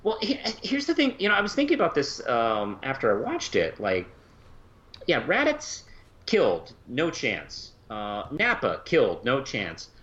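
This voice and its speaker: A man co-hosting a casual review podcast, conversational and halting as he thinks aloud, on slightly lo-fi audio. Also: medium pitch, wildly swooping pitch, fairly bright tone. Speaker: podcast co-host.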